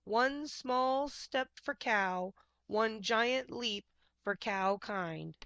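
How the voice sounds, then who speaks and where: A stubborn lady cow out of a children's storybook, acted out by a woman reader. She is dramatic and emphatic, with a bright, raised voice. The sound is close and dry. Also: on the high side, very slow, dry recording, dull audio, full character voice. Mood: solemn. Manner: emphatic, wailing and grumbling. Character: stubborn, dramatic female cow longing for the moon. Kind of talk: picture book character voice.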